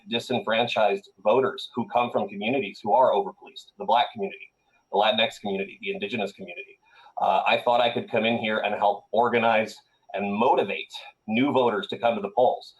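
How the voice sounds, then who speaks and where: A man's voice: fairly low pitch, rough recording. A man from Colombia, a political candidate, answering interview questions with earnest campaign-speech delivery and frequent "uh" fillers.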